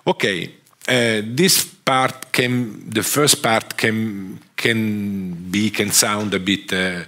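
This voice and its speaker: A man with a strong Italian accent gives a lecture from a theatre stage, speaking into a headset mic. His voice is low but bright and forceful in tone. He pauses on fillers and restarts his phrases often. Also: steady tone. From Italy. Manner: halting, many fillers and restarts. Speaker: guest lecturer. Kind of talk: academic lecture.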